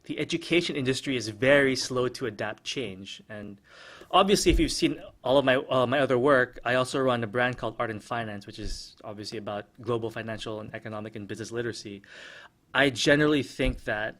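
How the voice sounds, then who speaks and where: A man of middle pitch thinking aloud on his own podcast, full of "um"s, false starts and repeated words as he works through an opinion. He speaks American English as his first language, in a casual, reflective way. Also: a little fast, a bit bright. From Philippines. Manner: hesitant, many restarts and fillers, reflective. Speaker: podcast host. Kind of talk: casual solo podcast commentary.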